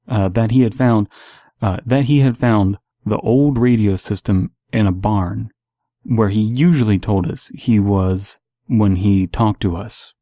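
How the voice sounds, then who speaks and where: A man's voice: on the low side, warm tone, no echo. A man reading stories aloud and giving casual, analytical commentary as the host.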